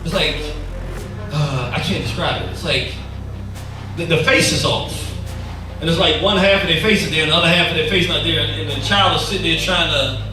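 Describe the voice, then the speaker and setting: A man speaking in a casual, halting way, often pausing to search for words. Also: a little high. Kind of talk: casual talk. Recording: very echoey room, far from the mic, music behind.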